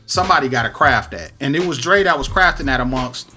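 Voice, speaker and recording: A man's voice: medium pitch, expressive, fast talker, pushed and bright. A man hosting a podcast, from Detroit, talking shop about music production in a casual, slangy, analytical way. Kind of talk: casual conversational interview.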